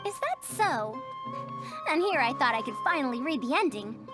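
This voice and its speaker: A very high, girlish-pitched scholar with lively swings of intonation. She is fussy and bookish, speaking in formal, old-fashioned phrases. It is game dialogue with clear music playing behind. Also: normal speed. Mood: eager, puzzled. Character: bookish, fussy young-sounding woman scholar, indignant about her beloved books. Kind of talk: video game voice acting.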